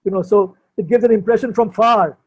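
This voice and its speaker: A man's voice: high-pitched, fairly even intonation, brisk, darkish tone, breathy edge, highs cut off. An older man, explaining things informally and hesitantly, often repeating himself as he searches for words. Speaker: poet and sculptor, guest at a book launch. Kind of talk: informal explanation at an online book launch.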